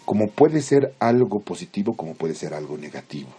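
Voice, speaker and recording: Deep, husky man giving a lecture. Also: very animated, dark tone, faint music behind. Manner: didactic, halting phrasing with check-in questions. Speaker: psychology lecturer. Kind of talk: informal lecture, explaining theory with everyday examples.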